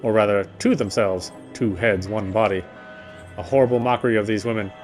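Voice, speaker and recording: A man's voice: lowish voice, a little fast, a little mellow. A man streaming a visual novel, reading its story aloud and commenting casually. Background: faint game music.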